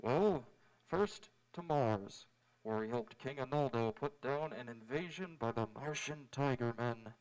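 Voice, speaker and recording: A man acting as a young space adventurer from a vintage radio serial. His voice has a middling pitch. He speaks politely and sincerely, in measured phrases, like an earnest hero. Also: lively intonation, gravelly, a little mellow, poor audio. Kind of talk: old-time radio drama acting. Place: live on an auditorium stage.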